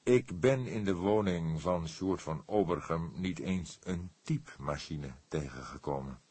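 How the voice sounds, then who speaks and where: A man voicing a calm, wry older male police inspector in an audiobook, with a measured, dryly ironic delivery. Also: deep voice, husky, no room sound.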